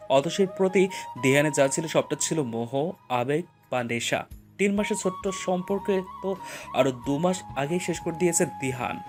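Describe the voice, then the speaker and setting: A man narrating romantic fiction as a scripted audio story, with expressive, emotional storytelling. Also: a little high.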